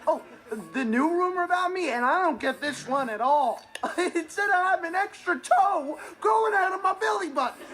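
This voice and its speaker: A man's voice: very high-pitched, animated, moderate pace, background noise. A young American man playing an earnest, put-upon teenage boy in a sitcom monologue, complaining emphatically and indignantly. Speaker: sitcom actor.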